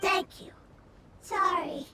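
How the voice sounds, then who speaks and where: A woman voicing a tiny, excitable childlike fairy companion in an anime-style video game, wide-eyed and earnest. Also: very high voice, slow, very noisy. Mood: concerned, apologetic. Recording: game music behind.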